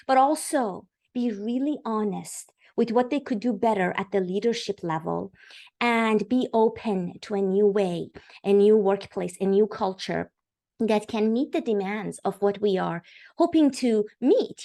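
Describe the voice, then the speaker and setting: A middle-aged woman from Iran speaking as a podcast guest. She tells her story eagerly, with frequent fillers and a conversational flow. Her voice sits at a medium pitch. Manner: warm, enthusiastic storytelling with fillers. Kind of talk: podcast interview.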